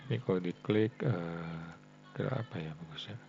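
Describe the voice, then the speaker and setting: An Indonesian man giving a casual coding tutorial, thinking aloud in a halting way and often tagging phrases for his viewers. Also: low-pitched, vocal fry, over music. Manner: halting, frequent "ya" tags addressing viewers as friends. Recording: dull lo-fi mic with faint hum.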